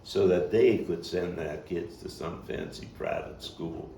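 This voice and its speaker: Actor as low, gravelly street-wise Brooklyn man reminiscing. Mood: reflective, wry. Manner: halting, reflective, dramatic pauses. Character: street-wise, bookish Brooklyn man recalling a hard childhood. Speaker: actor-playwright performing his monologue. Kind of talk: theatrical monologue at a table read. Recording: roomy, distant mic with faint noise.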